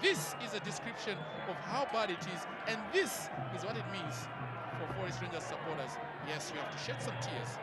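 Male football commentator, high-pitched, shouting over heavy crowd noise. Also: normal speed. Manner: shouting excitedly over goals. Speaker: play-by-play commentator. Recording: broadcast commentary over loud stadium crowd.

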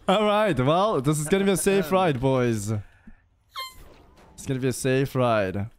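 A young man runs a gaming stream from his home setup, teasing his group in a playful, jumpy way. His pitch swings widely while he takes his time with his words. He sits close to a studio mic on a boom arm. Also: animated, slow, a little hiss or hum. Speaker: streamer leading a game group. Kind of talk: casual gaming voice chat. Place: indoor home streaming room.

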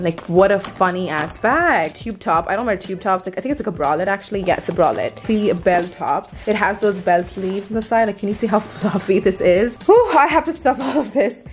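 A raspy-voiced young woman in her twenties from Dubai, chatting casually through a shopping haul in her bedroom, chatty and gushing with quick asides. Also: mid-range voice. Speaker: beauty vlogger. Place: bedroom, indoors.

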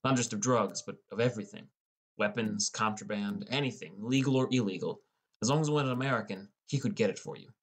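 A man with a fairly low voice narrates a first-person story. It is scripted audiobook reading. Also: fairly quick, husky.

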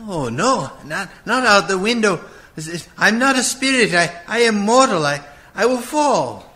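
An actor playing a querulous, fearful old miser in a radio play, hesitant and stammering. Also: male voice, sits high, sing-song, a little slow, pushed and bright, exaggerated voice. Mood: frightened.

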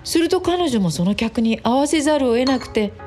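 A woman playing a chatty, teasing female office worker in a radio drama, expressive and gossipy. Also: sits high, fairly quick, soft background music.

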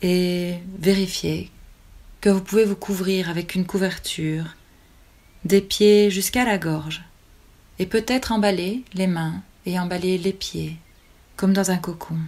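Woman doing a guided yoga nidra, really slow and calm, slightly low voice, lots of pauses. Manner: calm, soothing, with long pauses between short phrases. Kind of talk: guided relaxation meditation.

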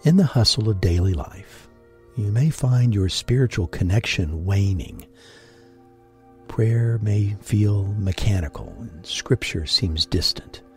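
A man guiding a sleep meditation in a gentle, deliberate, breathy voice with long pauses. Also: on the low side, animated. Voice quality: husky, breathy. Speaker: meditation guide and host. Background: music.

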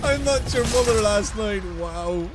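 A man's voice: high-pitched, expressive, measured pace, heavy background noise. A man in his twenties giving casual, amused reaction commentary in short exclamations from a small room at home. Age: 20s. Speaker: reaction video host. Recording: show's music and sounds behind him. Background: music from the show.

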